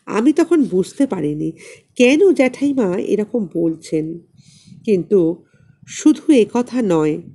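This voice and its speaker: Slow, expressive woman storyteller. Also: mid-range voice, husky. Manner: dramatic, acts out dialogue. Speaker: audiobook narrator. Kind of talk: expressive literary story narration in a boy's first person.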